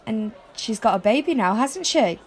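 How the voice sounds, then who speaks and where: A woman's voice: fairly high pitch, lively intonation, moderate pace. A young woman acting a prying, incredulous character in an audio drama. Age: young adult. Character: prying, incredulous woman pressing a sensitive question. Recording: background crowd chatter.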